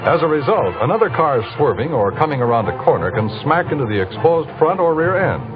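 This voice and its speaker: Animated American male radio announcer. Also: fairly high pitch, brisk. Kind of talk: old-time radio scripted announcing. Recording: muffled old radio broadcast. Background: music.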